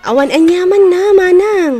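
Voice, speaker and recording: An actress playing an excited, adoring younger sister in a radio drama, loud and gushing. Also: female voice, extremely high pitch, normal speed, soft background music. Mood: excited, proud. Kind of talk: Filipino radio drama acting.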